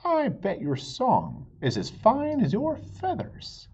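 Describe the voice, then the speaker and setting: A man voicing a sly, smooth-talking male fox who coaxes with sugary flattery, in a husky voice. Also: extremely high pitch, takes it slowly, lo-fi sound, pitched above the performer's own voice, full character voice. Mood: flattering.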